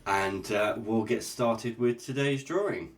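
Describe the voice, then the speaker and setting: A man's voice: medium pitch, unhurried, slight background noise. A man hosting a casual drawing vlog, chatty and jokey as he sketches and talks. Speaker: art channel host. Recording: guitar music playing underneath. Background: guitar music.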